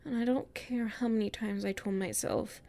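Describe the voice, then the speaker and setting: A woman acting a weary, anxious human exploring a castle in an audio roleplay, breathy and hesitant, muttering to herself. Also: mid-range voice, steady tone, moderate pace, warm tone, close to an everyday voice. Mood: weary, musing.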